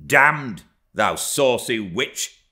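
A man voicing a surly, proud rustic farm lad in an audiobook story, gruff and old-fashioned in his speech. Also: mid-range voice, expressive, very slow, pushed and bright, voice pushed up, full character voice. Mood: angry, defiant. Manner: gruff, archaic dialect speech.

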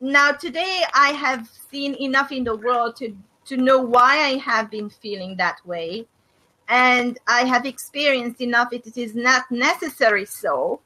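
Woman, Hungarian accent, middle-aged, high voice, slow, thoughtful, webcam call. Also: sharp tone, poor audio. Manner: hesitant, thoughtful, many fillers. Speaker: host of a faith and business discussion. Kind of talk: video call discussion. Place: small room indoors. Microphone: webcam on a video call.

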